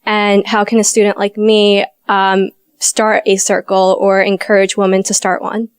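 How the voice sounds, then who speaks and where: A woman's voice: mid-range voice, level tone, measured pace, smooth, dry recording, studio quality. A woman student asking the panel a direct question on a webcast.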